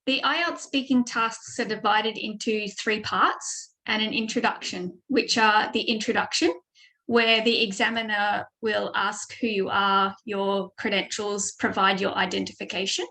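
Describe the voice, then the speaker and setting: A woman's voice: medium pitch, steady tone, measured pace, pushed and bright, low-quality recording. An Australian woman giving a webinar lesson as a speaking tutor over a video call mic, explaining steadily with frequent ums. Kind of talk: online webinar presentation.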